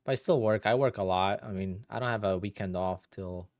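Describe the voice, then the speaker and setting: A man with a low, easygoing voice talks loosely to his live viewers, starting over and wandering as he thinks out loud. He is from Poland and in his thirties. The sound is close and dry, with no room around it. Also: brisk, no room sound. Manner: relaxed, rambling, thinks aloud with restarts. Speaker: day trader streaming live. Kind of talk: casual livestream chat answering viewers.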